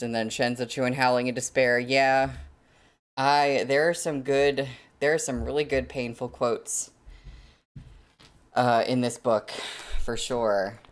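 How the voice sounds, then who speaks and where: A book readalong host with a mid-range voice and an unhurried pace. The delivery moves between casual livestream chat and narration of a novel. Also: mid-range voice, steady tone.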